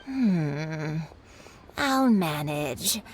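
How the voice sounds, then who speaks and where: Slow-talking, lively old granny. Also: female voice, low-pitched, a little hiss or hum, full character voice. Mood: kindly. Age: older. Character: kindly, shrewd elderly granny shopkeeper. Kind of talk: video game voice acting.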